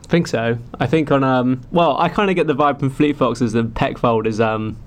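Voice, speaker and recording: A man's voice: mid-range voice, moderate pace. A young man, a singer-songwriter, talking casually and rambling through stories with lots of fillers. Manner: rambling, filler-heavy storytelling. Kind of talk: casual chat.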